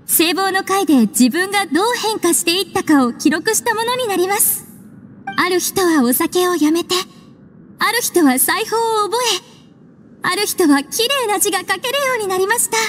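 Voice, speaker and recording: Casting: young female librarian type, very high voice, polite, earnest, gentle, anime game. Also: a little hiss or hum. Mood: friendly. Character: polite, earnest young woman, bookish club member. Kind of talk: Japanese anime game voice acting.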